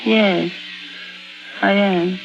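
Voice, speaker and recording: A woman acting a fragile, tormented painter under psychiatric care in a 1950s radio drama, speaking in a halting, intense, dramatic way. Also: mid-range voice, fairly even intonation, vocal fry. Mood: entranced, subdued. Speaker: actor. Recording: dull vintage radio recording with music behind.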